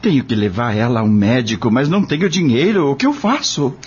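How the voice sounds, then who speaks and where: Man acting an earnest, animated Brazilian father. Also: medium pitch, a little fast, slightly rough, lo-fi sound. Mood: worried. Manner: expressive, earnest. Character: stubborn, anxious young father and farm worker. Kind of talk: audio drama acting in Portuguese.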